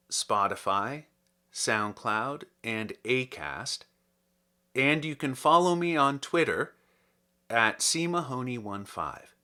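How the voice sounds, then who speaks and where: A man reading classic poetry aloud in a theatrical, deliberate and expressive manner, as a podcast host. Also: medium pitch. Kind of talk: dramatic poetry recitation.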